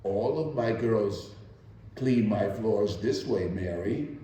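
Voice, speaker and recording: Man as soft, condescending lady employer, very echoey. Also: fairly low pitch, animated, takes it slowly, pitched below the performer's own voice. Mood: gently condescending. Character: gentle, persistent, cruel female employer of a maid. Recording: echoey hall, distant microphone.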